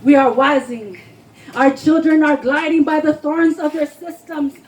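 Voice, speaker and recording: A woman's voice: high voice, fairly even intonation, a little slow, darkish tone, a little hiss or hum. A woman poet giving an impassioned, emphatic spoken word performance at a live reading, declaiming her lines. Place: live poetry reading before a responsive audience.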